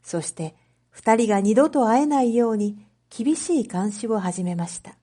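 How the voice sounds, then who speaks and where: A woman reading a story aloud as a narrator, in a measured, clearly phrased storytelling manner for reading practice. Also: fairly high pitch, animated, mellow. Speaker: narrator, Japanese reading teacher.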